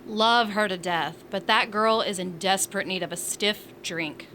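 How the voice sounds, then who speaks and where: A woman acts out a feisty space station resident in a science fiction audio drama. Her voice is bright and punchy, and she teases with sassy, bantering delivery. Faint background ambience runs behind her. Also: medium pitch, normal speed, a little hiss or hum, natural voice. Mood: playfully blunt.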